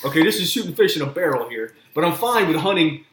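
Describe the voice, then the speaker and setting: A man rants in a blunt, sarcastic way, swearing all the time, with a voice that sits a little high. He sounds like he is in an echoey room, with faint noise in the background. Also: sing-song, quick pace, a bit bright. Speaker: podcast co-host. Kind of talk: profane casual rant.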